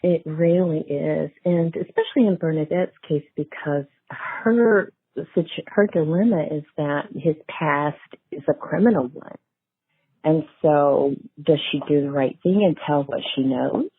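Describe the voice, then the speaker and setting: A woman's voice: low-pitched, unhurried, husky, no room sound. A middle-aged woman, a guest author in a casual interview, telling stories conversationally and stressing key phrases. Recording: thin phone-like line.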